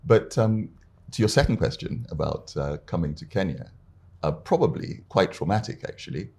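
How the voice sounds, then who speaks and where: Very deep-voiced husky older man. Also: lively intonation, moderate pace, darkish tone. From Uganda. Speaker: guest, arbitrator and litigator. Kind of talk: relaxed interview storytelling.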